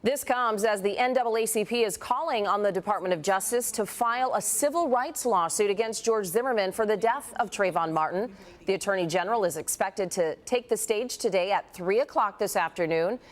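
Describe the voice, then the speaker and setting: Female anchor, mid-pitched, even formal read. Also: brisk, few pauses. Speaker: news anchor. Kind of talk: scripted news reading.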